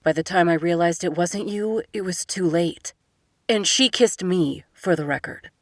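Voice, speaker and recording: Woman voicing an apologetic young man, hushed, soft, sheepish, dry sound. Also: lowish voice, unhurried, no room sound, natural voice.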